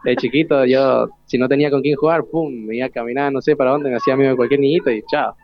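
A man's voice: mid-range voice, fairly even intonation, fast talker, lo-fi sound. A young Argentine man, a student, answering informally in an earnest, colloquial way with frequent question tags. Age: teens to young adult. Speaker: student guest.

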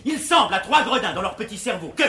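An actor playing an ardent, witty young gentleman suitor, declaiming verse with biting emphasis in a classical French stage comedy. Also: high-pitched, big pitch swings, fast talker, exaggerated voice. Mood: scornful, indignant. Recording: echoey, noisy vintage 1950s theatre recording.

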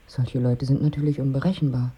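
Eine Schauspielerin spielt in einem Hörspiel eine entnervte Ehefrau, die sich vertraulich und trocken beklagt, mit rauchig-hauchiger Stimme. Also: female voice, very deep voice, level tone, brisk, mellow, full character voice. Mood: dryly aggrieved. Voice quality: husky, breathy. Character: exasperated wife fleeing Christmas with her ever-sleeping husband. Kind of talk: radio drama acting.

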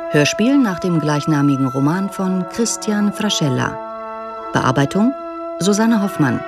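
A man announces a radio play in a scripted, formal reading. His voice sits high for a man, and music plays behind it. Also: lively intonation, unhurried. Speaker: announcer of title and credits.

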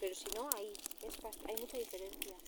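A woman's voice: mid-range voice, fairly even intonation, fast talker. A woman with an accent from Spain, chatting casually outdoors at a campsite. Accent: Spanish, from Spain. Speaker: camper. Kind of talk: casual chat. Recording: noisy outdoor field recording.

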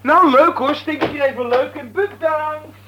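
An actor playing an indignant, sulky teenage son in a radio play, whining and protesting in casual speech. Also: male voice, very high voice, measured pace, muffled recording. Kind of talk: Dutch radio play acting. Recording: old radio recording, faint noise, clicks.

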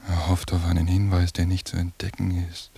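An actor playing a tired, lonely night-surveillance agent in a radio drama, giving a detached, deadpan inner monologue in a husky, gravelly voice. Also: male voice, low-pitched, brisk, exaggerated voice. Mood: weary, desolate. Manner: flat, deadpan, clipped phrases.

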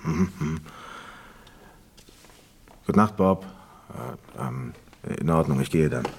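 An actor playing a polite, awkward pianist in a radio drama, hesitant and mumbling, with a husky voice. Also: male voice, extremely low pitch, expressive, slow pace, a little hiss or hum, exaggerated voice. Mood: tired, awkward. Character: polite, awkward male pianist finishing studio work.

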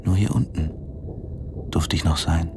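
An actor playing a brooding male diving instructor in a German radio drama, narrating in a measured, introspective way. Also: low voice, fairly even intonation, over loud music. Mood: brooding, tense.

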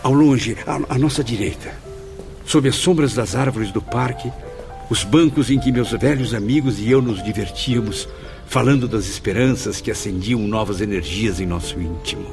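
A man's voice: lowish voice, normal speed, over music, lightly acted. An actor playing a melancholy, guilt-ridden male spirit in a radio drama, with solemn, literary Brazilian diction. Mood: wistful.

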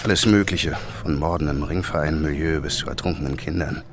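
An actor playing a gruff, commanding police inspector in a German radio drama, speaking in a terse, sardonic way. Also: male voice, very deep voice, fairly even intonation, a little fast, noisy background. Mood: low, grim. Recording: music bed behind the voice.

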